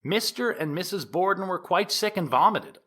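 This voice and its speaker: Man quoting an anxious, animated Victorian woman. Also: high-pitched, normal speed, pitched above the performer's own voice. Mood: foreboding. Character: anxious, foreboding young woman of the 1890s, quoted. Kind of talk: dramatised quotation within a courtroom speech.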